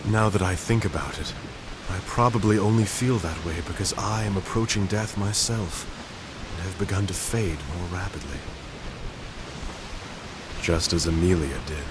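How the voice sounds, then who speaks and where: An actor playing a dying young composer, soft and reflective. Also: male voice, sits low, normal speed, natural voice. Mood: wistful. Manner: soft, reflective, searching delivery. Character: ailing young male composer, gentle and melancholic. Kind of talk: video game cutscene dialogue. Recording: hissy game audio capture.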